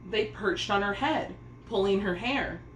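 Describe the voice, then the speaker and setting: A woman's voice: medium pitch, unhurried. A woman reading a children's story aloud, narrating expressively in a room with echo and faint noise. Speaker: storybook reader.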